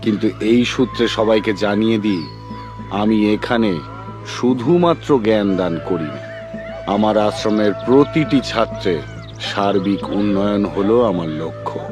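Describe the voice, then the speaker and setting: An actor playing a strict, principled guru in a cartoon, lecturing in a formal, measured and stern manner. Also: male voice, fairly low pitch, natural voice. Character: strict, principled old male guru of a forest school. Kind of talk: dubbed cartoon acting. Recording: music behind the voice.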